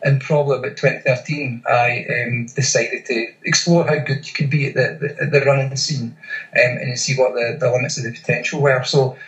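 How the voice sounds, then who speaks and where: A Scottish man in his forties, a guest in a casual interview, talking with many pauses and fillers. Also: mid-range voice, a bit bright, roomy sound, dull audio. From Scotland. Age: 40s. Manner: hesitant, many fillers and restarts. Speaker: guest, ultra runner.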